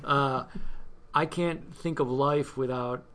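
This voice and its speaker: A middle-aged man from Cuba, talking in a relaxed interview. He hesitates often, with many fillers and restarts. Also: mid-range voice, takes it slowly. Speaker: guest, scouting volunteer. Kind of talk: casual interview chat.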